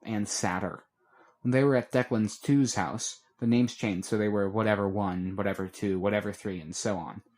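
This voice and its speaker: A man reading a fan-made audiobook chapter in a steady storytelling way. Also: on the low side, moderate pace. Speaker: audiobook narrator.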